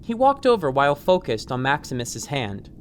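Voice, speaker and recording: A man's voice: medium pitch, big pitch swings, moderate pace, a little hiss or hum. A man reading scripted fiction as an audiobook narrator.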